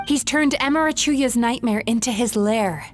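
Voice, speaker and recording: A woman playing a calm, resolute dream-eating guide in a video game, explaining things in a measured, gentle way. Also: a little high, faint music behind, natural voice.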